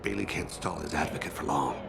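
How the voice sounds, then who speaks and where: An actor playing a solemn alien assassin in a video game, speaking in a measured, formal, reflective way. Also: male voice, very deep voice, creaky, very noisy, exaggerated voice. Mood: calm, firm. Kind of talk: video game cutscene acting.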